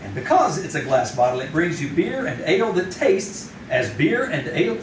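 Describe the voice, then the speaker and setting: A man's voice: on the high side, very animated, moderate pace. Vintage male radio announcer actor: bouncy, swooping sponsor delivery, picked up from afar in an echoing room. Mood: upbeat, selling. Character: peppy male 1940s radio announcer pitching beer bottles. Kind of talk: 1940s radio announcing, scripted sponsor patter. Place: theater stage, live performance. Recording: roomy, distant stage recording with hiss.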